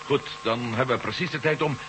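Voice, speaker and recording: Space-adventure lead, played by a man: sharp, slightly high male voice, intense and decisive, faintly humming recording. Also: brisk, a little hiss or hum, close to an everyday voice, rough recording. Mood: urgent. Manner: serious, decisive. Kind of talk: radio drama acting.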